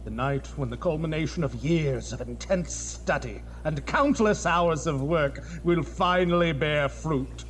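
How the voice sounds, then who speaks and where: A man's voice: fairly high pitch, unhurried, hardly any pauses, natural voice. A man playing a pompous, irritable young mad scientist in a comedic horror audio drama, theatrical and easily exasperated. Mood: grandiose. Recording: noisy, echoing hall reverb, clicks.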